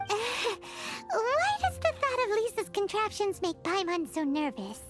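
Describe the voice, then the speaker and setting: A woman voicing a tiny, excitable, childlike fairy companion girl in a video game, nosy and blunt, with a squeaky voice. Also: takes it slowly, faint music behind, heavily acted. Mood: uneasy, grumbling. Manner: exclamatory, talks about self in third person. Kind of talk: video game character dialogue.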